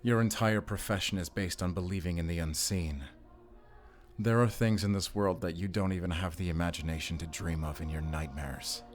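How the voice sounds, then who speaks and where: Man acting a low, brooding werewolf hunter. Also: steady tone, moderate pace, lightly acted. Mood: grim. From Germany. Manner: terse, guarded, solemn. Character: brooding, guarded male werewolf hunter, haunted and defiant. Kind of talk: cinematic audio roleplay acting.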